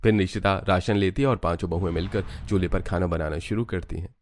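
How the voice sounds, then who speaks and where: Male narrator, low pitch, scripted cartoon storytelling, noisy recording with clicks. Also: quick pace, darkish tone.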